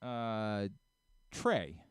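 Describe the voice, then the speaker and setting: A man's voice: on the low side, big pitch swings, extremely slow, clear, resonant tone, no room sound. An American man hosting a casual sports talk radio show, chatting and reading ads. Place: radio studio.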